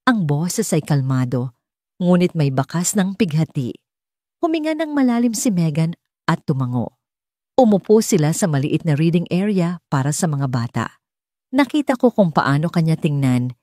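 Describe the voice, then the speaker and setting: Low, mellow woman audiobook narrator. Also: sing-song, moderate pace, dry recording, clean studio sound. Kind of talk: scripted romance story narration.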